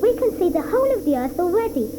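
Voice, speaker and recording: A woman's voice: extremely high pitch, big pitch swings, fast talker, background noise. An actress playing an earnest, polite young boy in a studio radio play with music underneath.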